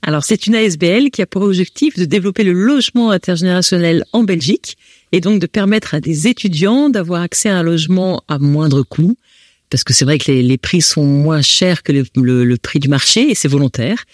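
A woman's voice: lowish voice, lively intonation, brisk, no room sound, clean studio sound. A woman with a French accent answers interview questions as founder of a housing association, explaining with frequent restarts. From France.

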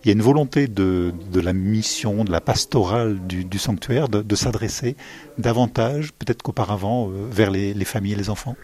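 A man's voice: on the low side, moderate pace, a little mellow. A male reporter asking friendly, rambling interview questions.

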